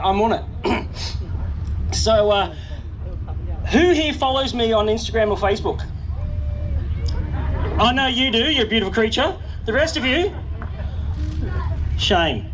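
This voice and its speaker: A man with an Australian accent announcing at an outdoor tank show over a handheld mic, teasing the crowd with cheeky banter. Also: sits high. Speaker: event announcer. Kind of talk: joking crowd warm-up over a PA. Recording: loud outdoor crowd and vehicle noise. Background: crowd chatter and engines.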